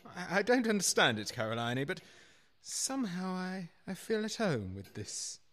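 A man's voice: high-pitched, big pitch swings, a bit bright. A man acting in a radio play as a self-important, formal financier who is really a flustered servant. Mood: bewildered. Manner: formal, halting. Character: self-important married financier, secretly a butler, flustered and servile. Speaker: actor in an amateur radio play.

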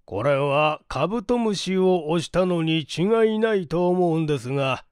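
A man voicing a mild, sheepish gentleman and father in an audiobook, speaking in a deliberate, self-deprecating way. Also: on the high side, animated, clean tone, dry recording, clean studio sound. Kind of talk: audiobook character reading.

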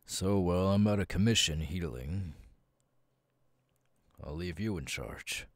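Guy doing a stoic brooding war captain, super low raspy breathy voice, takes his time, asmr roleplay. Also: measured pace, no echo. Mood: steady, reassuring. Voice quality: husky, breathy. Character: stoic, battle-hardened male military captain, brooding and vengeful. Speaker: voice actor, ASMR artist. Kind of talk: ASMR audio roleplay, dramatic monologue.

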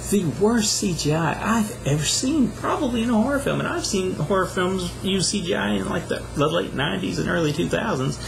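A man's voice: high-pitched, lively intonation, fairly quick, creaky, few pauses, noisy background. A man in his thirties giving a casual, rambling, exasperated video review, talking conversationally into a camera indoors. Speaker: film reviewer. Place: small plain room indoors.

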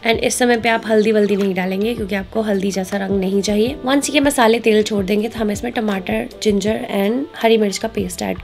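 A woman's voice: medium pitch, steady tone, brisk, creaky, hardly any pauses, music in the background. A woman, chatting casually and instructively as she narrates a recipe, switching in English words. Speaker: cooking vlog host. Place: home kitchen, indoors.

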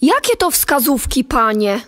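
A woman playing a respectful young stable boy in an audio play, with a hushed, deliberate manner. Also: high voice, very animated, heavily acted. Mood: awed. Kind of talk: dramatic audio play acting.

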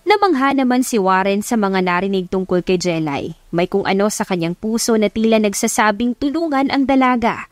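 A woman's voice: medium pitch, animated, normal speed, no echo. A woman giving scripted narration of an audiobook love story.

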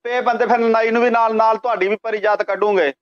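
A man in his forties argues on the phone with a very high-pitched voice. He scolds and protests indignantly. The sound is dull, like a radio or phone line. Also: steady tone, a little fast. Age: 40s. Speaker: prank call target. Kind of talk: phone argument.

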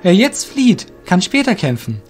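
A man puts on a proud young male rogue in a fantasy audio drama, pitched well up. He sounds earnest, principled and firm as he speaks up for himself. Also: very animated, a little slow, breathy edge, faint music behind, exaggerated voice. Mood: stern, lecturing. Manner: firm, friendly, principled, forthright.

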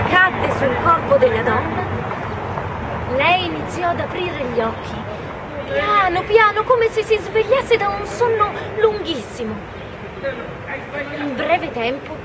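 Very high-pitched young woman storyteller outdoors. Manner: projected, dramatic delivery to an open square. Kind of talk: live theatrical fairy tale storytelling. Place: outdoors in a city square. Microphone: camera a few metres away. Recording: distant mic, loud urban street noise. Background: traffic and people outdoors.

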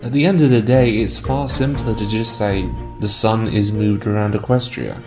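A man's voice: on the low side, steady tone, moderate pace. Man narrating analytically over background music. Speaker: video essayist, host. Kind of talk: scripted explanatory narration.

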